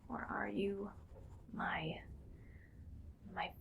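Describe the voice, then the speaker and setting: A woman playing a bossy, musing female mad scientist in a whispered ASMR roleplay, speaking in a breathy, clinical, quietly menacing way. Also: mid-range voice, steady tone, narrow, tinny audio, noisy background, exaggerated voice. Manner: slow musing, clinical, domineering. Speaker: ASMR performer.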